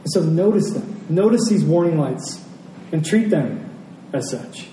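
Higher-pitched American man preaching, roomy sound. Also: lively intonation, measured pace, mellow. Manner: reflective, repeats phrases for emphasis. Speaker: pastor. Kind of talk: conversational sermon to a congregation. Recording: roomy, distant mic, faint noise.

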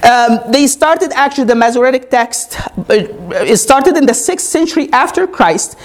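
A woman's voice: high-pitched, expressive, normal speed. A woman whose first language is Arabic, preaching a teaching sermon, often asking her congregation "Amen?" and explaining step by step. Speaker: pastor.

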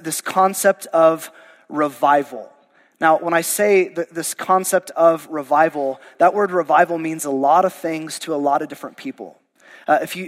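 A man's voice: a little high, big-room sound. A male pastor, losing his voice, preaching a verse-by-verse sermon in a conversational, informal way. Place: church sanctuary.

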